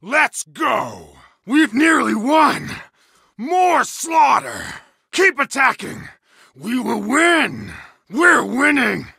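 An actor voicing a fierce, bloodthirsty bearded berserker warrior in a video game, barking gravelly battle calls. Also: male voice, very high-pitched, big pitch swings, very slow, dry recording, full character voice. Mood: commanding. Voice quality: gravelly shouting. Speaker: game character voice lines. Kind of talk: video game combat barks. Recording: dry studio recording.

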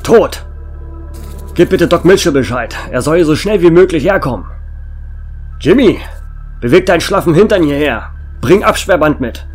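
An actor playing a gruff, rattled small-town sheriff in a German horror radio play, commanding and blunt. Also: male voice, a little high, lively intonation, moderate pace, pauses often, faint music behind. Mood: shaken, urgent. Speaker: actor in an audio drama.

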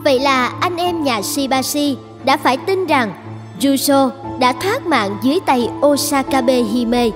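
Female narrator, storybook narration, high pitch, music behind. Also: unhurried.

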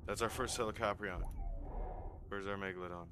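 A man giving casual gameplay commentary while losing his voice, excitable and swearing when something startles him. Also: on the low side, brisk, background noise. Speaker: gaming YouTuber.

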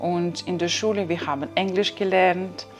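A woman's voice: fairly low pitch, fairly even intonation, normal speed, soft background music. A woman, answering interview questions in a hesitant way, searching for words, on a lapel mic in an ornate room. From Kazakhstan. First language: Kazakh. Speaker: opera singer, guest. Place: ornate indoor reception room.

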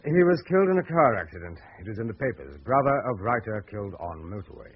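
A man's voice: lowish voice, sing-song, fast, husky, full character voice. A man acting in a radio drama as a defensive, sceptical writer with a hesitant, prickly manner. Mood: guarded. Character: defensive, sceptical male writer researching the supernatural. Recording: dull, muffled radio drama recording.

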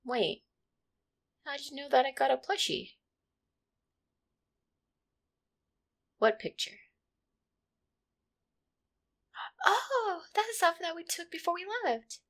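A woman voicing an affectionate, insecure catgirl girlfriend in an intimate roleplay, with a hesitant, soft-hearted manner. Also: sits high, sing-song, moderate pace, exaggerated voice. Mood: cheerful, casual. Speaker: roleplay audio performer. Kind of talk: intimate one-sided roleplay audio acting.

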